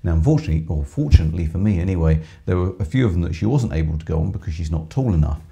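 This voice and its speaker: A middle-aged man with a hoarse voice, chatting on a live stream from a small music shop studio, reading out viewer comments with lots of ums. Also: low-pitched, animated, rapid-fire, mellow, highs cut off. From England. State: hoarse from screaming. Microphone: headset with microphone.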